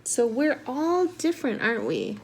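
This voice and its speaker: Animated high-voiced woman reading a storybook. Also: normal speed, noisy background. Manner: animated, asks the listeners questions. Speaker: preschool teacher reading aloud. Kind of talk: expressive storybook reading for young children.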